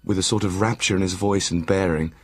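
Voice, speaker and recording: Low, husky Victorian doctor. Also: male voice, steady tone. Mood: measured, observant. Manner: measured, formal, literary delivery. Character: Victorian asylum doctor narrating his journal, brooding, lovelorn. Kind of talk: audio drama journal narration.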